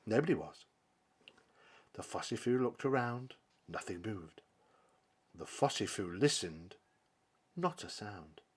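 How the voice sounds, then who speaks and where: A male storyteller reads a picture book in a dramatic, theatrical style. His slightly husky voice rises and falls widely, and he takes his time, pausing for emphasis between phrases. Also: on the low side. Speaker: storybook reader. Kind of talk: dramatic children's story narration.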